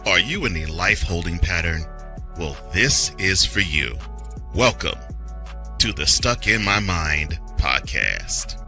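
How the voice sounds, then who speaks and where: Man, very low bright forceful voice, animated promo announcer read, faint music. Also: takes it slowly, creaky. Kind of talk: scripted podcast promo voice-over.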